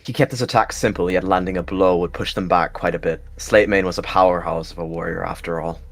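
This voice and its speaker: A man's voice: low-pitched, fairly even intonation, brisk. A man reading scripted story narration for an animal fantasy roleplay.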